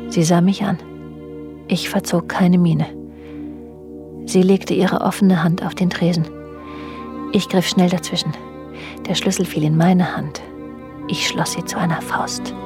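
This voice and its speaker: A woman's voice: fairly low pitch, level tone, measured pace, long pauses. An actress playing a wounded, brooding woman who recounts a secret love affair in controlled, literary German radio drama narration. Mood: guarded. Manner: controlled, literary first-person telling. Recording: radio drama with music underneath. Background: music.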